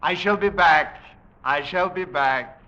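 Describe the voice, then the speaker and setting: A man's voice: a little high, slow pace. An actor playing a suave, aristocratic big-game hunter who taunts his prey with theatrical, mocking courtesy in a 1940s radio drama. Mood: amused, taunting. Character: suave, gloating aristocratic male big-game hunter, cruelly playful villain. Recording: dull vintage 1940s radio recording.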